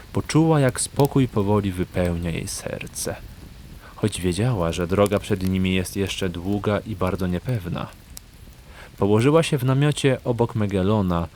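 A man's voice: fairly low pitch, darkish tone, slight background noise. A man narrating a fantasy audio drama in a scripted, dramatic storytelling style.

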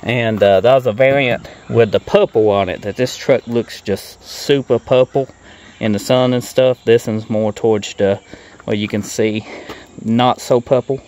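A man's voice: mid-range voice, steady tone, a little slow, a little mellow. A middle-aged man giving a casual hands-on walkthrough in a plain-spoken, folksy way, recorded outdoors on a handheld phone. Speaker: car restoration vlogger. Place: outdoors in a grassy gravel yard. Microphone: handheld phone at arm's length.

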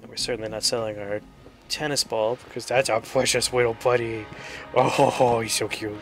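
Male gaming host, average pitch, casual and playful, self-mocking delivery over prominent music. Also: fairly even intonation, brisk. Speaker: show host, gamer. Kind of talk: casual let's-play commentary. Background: music and game gunfire.

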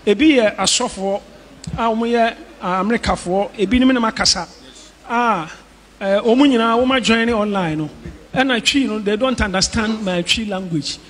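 An older man with a Ghanaian accent preaching on a church stage, mixing Twi and English, joking and shouting with a handheld mic. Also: high voice, brisk. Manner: animated, joking, shouting at times. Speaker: pastor. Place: large indoor church hall. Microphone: handheld microphone.

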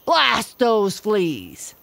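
A woman's voice: normal speed, natural voice. A woman doing a fierce blustering gorilla. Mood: raging. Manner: booming, blustering. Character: fierce bossy male gorilla, loud and impatient.

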